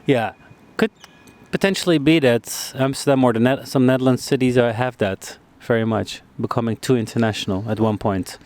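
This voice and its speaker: A man's voice: medium pitch, moderate pace, hardly any pauses, a little mellow. A man interviewing a guest outdoors in a park, talking loosely with many restarts and "you know"s. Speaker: street interviewer.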